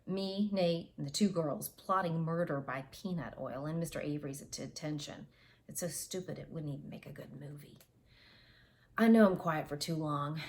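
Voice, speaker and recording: Lady reading a teen mystery novel out loud, earbud mic, home office, lowish voice, stops to redo lines. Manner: reads aloud with character voices and retakes. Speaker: audiobook reader. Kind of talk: first-person novel reading. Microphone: wireless earbuds.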